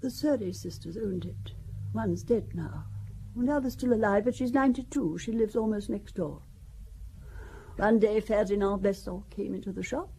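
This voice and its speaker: A woman's voice: medium pitch, normal speed, vocal fry, mellow, slight background noise, lightly acted, low-quality recording. An older woman playing a genteel, chatty French widow in an audio drama, confiding and wistful as she reminisces. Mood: reminiscing. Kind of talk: audio drama acting, conversational storytelling.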